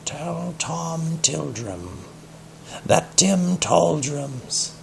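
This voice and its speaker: An eerie storybook cat bishop. The voice is raised in pitch, very slow and hushed, with a solemn, ominous air. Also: male voice, animated, gravelly, a little hiss or hum, heavily acted.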